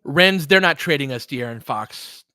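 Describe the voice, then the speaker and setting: A man's voice: a little high, animated, normal speed, sharp tone, clear, resonant tone, no echo. An American man doing casual, conversational live basketball commentary while chatting with viewers. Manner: conversational, reacting to chat comments. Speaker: play-by-play streamer and analyst.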